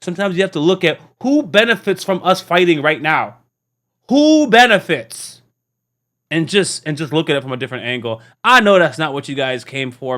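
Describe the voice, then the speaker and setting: A man's voice: a little high, bright, forceful tone. A man hosting a reaction video, talking in a preachy, earnest way, often restarting and stammering. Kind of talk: casual rambling monologue.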